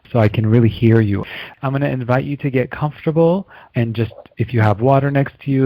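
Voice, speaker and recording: Calm, soothing male energy healer. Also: medium pitch, dry recording, muffled recording. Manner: calm, slow, soothing guidance with steady affirmations. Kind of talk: guided healing session.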